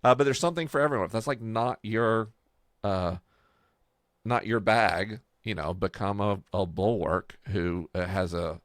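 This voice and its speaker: A man's voice: on the low side, a little slow, dry recording, clean studio sound. A man talking casually and conversationally as a podcast host, explaining his project. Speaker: podcast host and game creator.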